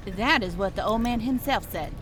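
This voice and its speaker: An actress playing a brisk, no-nonsense head veterinarian giving authoritative direction in an audio drama. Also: female voice, fairly high pitch, a bit bright, faint music behind, lightly acted. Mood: commanding.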